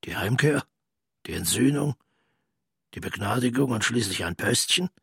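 An actor playing a bitter, hard, unrepentant Nazi war criminal in a radio play, with clipped, contemptuous, gravelly, often half-whispered inner monologue. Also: male voice, mid-range voice, expressive, moderate pace, fairly bright tone, dry recording, clean studio sound, full character voice. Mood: scornful.

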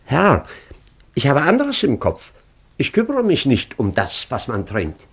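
An actor playing a pompous, easily outraged baron in a German radio play, blustering and exasperated. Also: male voice, medium pitch, huge pitch swings, unhurried, gravelly, husky, heavily acted. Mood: flustered outrage.

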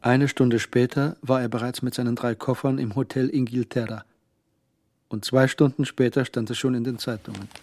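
A man's voice: mid-range voice, steady tone, moderate pace, a little mellow, slight background noise. A man narrating a German radio crime drama from a literary script.

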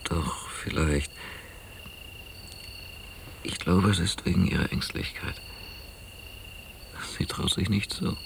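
An actor playing a hesitant, affectionate man in quiet, intimate radio drama dialogue, with a gravelly voice. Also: low-pitched, slow, pauses often, exaggerated voice. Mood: hesitant, reflective. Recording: noisy, hissy old cassette radio play.

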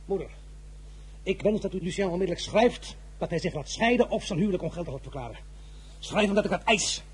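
An actor playing an imperious, indignant young general in a radio drama, declaiming and giving commands. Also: male voice, high voice, lively intonation, fairly quick, breathy edge, exaggerated voice. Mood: outraged. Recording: busy crowd behind voice.

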